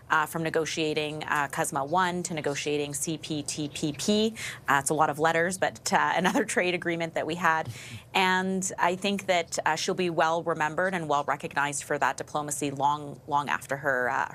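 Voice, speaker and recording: A woman giving measured, thoughtful commentary on a TV political panel. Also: low-pitched, fairly even intonation, fairly bright tone, slight background noise.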